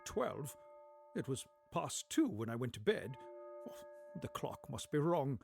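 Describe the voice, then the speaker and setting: A man playing a fretful, bewildered old miser in a storybook radio play, querulous and hesitant. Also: mid-range voice.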